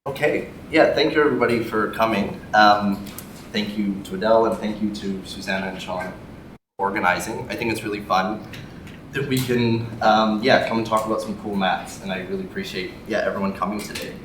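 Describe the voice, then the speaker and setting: A man's voice: lowish voice, a little fast. Young Canadian man lecturing in a hall. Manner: friendly, relaxed, frequent ums and yeahs. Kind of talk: public maths lecture. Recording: echoey hall from far off, faint noise.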